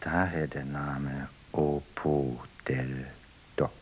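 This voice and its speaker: A man's voice: very deep voice, steady tone, drawn-out words, loud music behind the voice, exaggerated voice. A man playing a terse, skeptical partner in an experimental radio play. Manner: terse, dry, contradicting. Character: skeptical man questioning shared childhood memories.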